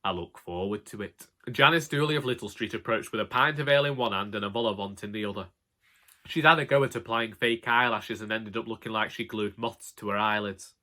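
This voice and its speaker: A man's voice: fairly low pitch, a little fast, pushed and bright. A man with a Northern English accent narrating a comic first-person story in a wry, colloquial way. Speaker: audiobook narrator.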